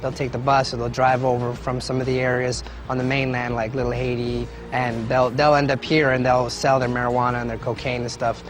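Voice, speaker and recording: A man's voice: medium pitch, level tone, brisk, hardly any pauses. A man being interviewed, explaining the local drug scene in a matter-of-fact way. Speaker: interviewee commentator. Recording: over score music.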